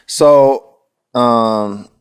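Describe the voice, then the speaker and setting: A man's voice: mid-range voice, very slow, clear, resonant tone. A man hosting a casual interview podcast, asking questions in informal, hesitant phrasing. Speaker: podcast host.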